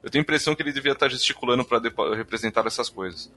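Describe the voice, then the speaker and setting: A man's voice: mid-range voice, very fast, pushed and bright, slight background noise. A man with a Brazilian accent, an irreverent, slangy podcast co-host casually reading out listener feedback and bantering.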